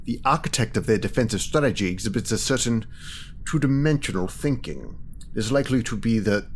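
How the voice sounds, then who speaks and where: An actor playing a coolly analytical official in an audio drama, speaking in a formal, measured, clinical manner. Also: male voice, lowish voice, lively intonation, a little hiss or hum. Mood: coolly confident. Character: coolly analytical male official, precise calculating strategist.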